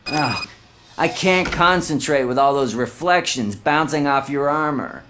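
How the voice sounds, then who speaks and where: An actor playing a surly, mocking tattoo artist in a video game, with a sarcastic, defiant manner. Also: male voice, a little high, expressive, a little slow, music in the background. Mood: grudging. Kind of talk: video game dialogue acting.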